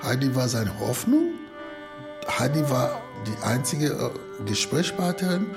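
A non-native German speaker, a man with a foreign accent, retelling and reflecting in a halting, searching way. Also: medium pitch, sing-song, over loud music. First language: not German. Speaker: asylum seeker retelling a story.

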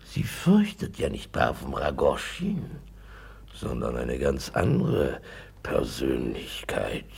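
Servile gossipy schemer in a radio play, croaky and raspy, oily flattering wordy delivery. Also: male voice, rumbling low, takes it slowly, full character voice. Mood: secretive, insinuating. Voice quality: gravelly, husky. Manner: servile, wordy, theatrically insinuating. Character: fawning, gossipy, obsequious host and schemer. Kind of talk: German radio play acting.

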